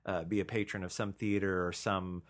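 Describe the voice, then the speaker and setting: Low-voiced man in casual podcast chat. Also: flat delivery, moderate pace. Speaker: podcast co-host. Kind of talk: casual conversation.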